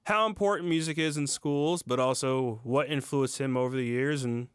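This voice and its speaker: A man co-hosting a casual music podcast, easygoing and quick to laugh, on a close microphone. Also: medium pitch, clean tone, no room sound. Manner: easygoing, laughs often, signs off in a host tone. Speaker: podcast co-host.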